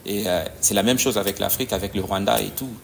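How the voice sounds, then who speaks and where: A man speaks in a low, gravelly voice. He talks haltingly, pausing and searching for words as he explains his work as a judo coach. A faint hum of noise sits under him. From DR Congo. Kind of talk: conversational interview answers.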